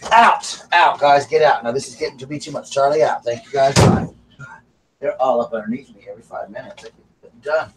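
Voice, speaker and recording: Raspy Southern man, far from the mic. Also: medium pitch, fairly quick. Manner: chatty, breaks off to call his dogs. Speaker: host of a live stream. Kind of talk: casual live-stream chat. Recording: far from the mic with faint background noise.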